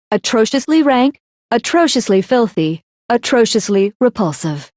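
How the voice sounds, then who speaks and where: A woman's voice: on the high side, a little mellow, no room sound, studio quality, lightly acted. A woman voicing a smug, coldly analytical teenage girl villain in an anime video game, composed and condescending as she explains herself.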